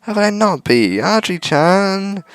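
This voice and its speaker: A man's voice: high voice, big pitch swings, unhurried, smooth, no echo, pitched above the performer's own voice, exaggerated voice. A man voicing a kind-hearted, emotional teenage schoolgirl in a visual novel, girlish and pleading. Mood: tearful, pleading.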